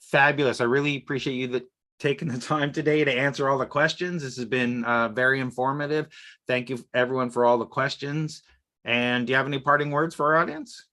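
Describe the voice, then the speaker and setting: Bright-voiced male webinar host. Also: medium pitch, fairly even intonation, a little fast. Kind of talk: moderating a webinar Q&A. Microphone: video call.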